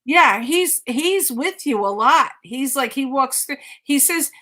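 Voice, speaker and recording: A woman's voice: high voice, lively intonation, slow, sharp tone. A woman giving a conversational live mediumship reading, insistently repeating phrases and relaying messages as quotes.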